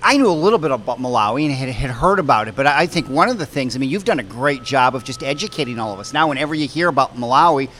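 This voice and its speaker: A man hosting a casual on-location interview in a restaurant, speaking haltingly and repeating words as he goes. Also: medium pitch, expressive, fairly bright tone, slight background noise. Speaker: interviewer, show host. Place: inside a pizza restaurant.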